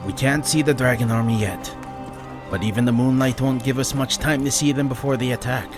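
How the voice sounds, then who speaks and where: A man voicing a resolute ninja master in a fantasy audiobook, speaking in an earnest, measured way. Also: medium pitch, steady tone, over music, pitched above the performer's own voice, lightly acted. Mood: earnest, grave. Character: resolute male ninja master leading weary townsfolk. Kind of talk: dramatised fantasy audiobook character.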